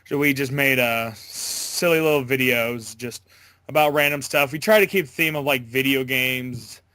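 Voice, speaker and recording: A man chatting casually as an interview guest, with a halting, stammering way of talking that often backtracks. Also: medium pitch, sharp tone, dry recording. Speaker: interview guest, amateur voice actor. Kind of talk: casual chat.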